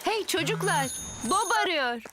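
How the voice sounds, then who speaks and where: A very high, cheerful cartoon builder. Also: female voice, animated, measured pace. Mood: rallying. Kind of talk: dubbed children's cartoon acting.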